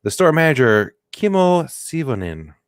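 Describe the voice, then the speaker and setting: A man's voice: on the high side, big pitch swings, normal speed, clear, resonant tone, no echo, studio quality. A man co-hosting a comedy podcast, joking and riffing in casual chat, now and then slipping into silly put-on voices. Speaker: podcast co-host.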